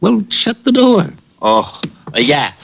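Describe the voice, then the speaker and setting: An actor playing a timid, bumbling police sergeant who stammers and flusters, in 1950s radio drama style. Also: male voice, fairly high pitch, sing-song, slow, vocal fry, full character voice. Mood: flustered. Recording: lo-fi old radio broadcast with faint noise.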